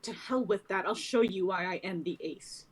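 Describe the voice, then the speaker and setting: A woman's voice: mid-range voice, moderate pace, husky, close to an everyday voice. A woman playing a cocky, ruthless female shadow fighter who taunts with contempt in a dramatic script reading. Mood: angry defiance.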